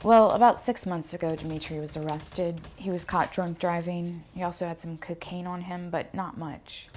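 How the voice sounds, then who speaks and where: An actress playing a guarded adult daughter recounting a family fight as if in a police interview. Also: female voice, lowish voice, steady tone, moderate pace, darkish tone, natural voice. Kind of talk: police interview monologue, film acting. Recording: clear background noise with typing.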